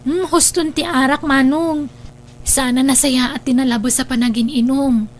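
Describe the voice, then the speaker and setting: An actress playing a caring, sweet younger woman in an Ilocano radio drama, with an earnest, expressive delivery. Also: high voice, moderate pace, slight background noise, natural voice. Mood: concerned.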